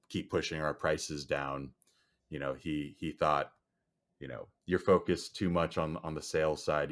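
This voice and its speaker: A man whose first language is Chinese, answering podcast interview questions in a measured way with frequent "you know" fillers. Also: extremely low pitch, fairly bright tone. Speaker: guest, storage company executive.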